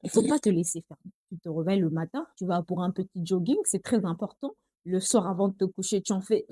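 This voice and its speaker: A woman's voice: fairly low pitch, brisk, warm tone, breathy edge, dry recording. A young woman with a Congolese accent chatting to her viewers in a casual vlog, often calling them girls. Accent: Congolese French. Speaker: vlogger. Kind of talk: casual talk to camera.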